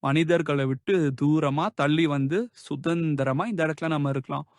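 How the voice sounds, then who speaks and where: A man's voice: a little high, fairly even intonation, normal speed, no room sound, clean studio sound, natural voice. A man voicing a friendly, chatty young male cat in a children's cartoon, chummy and familiar.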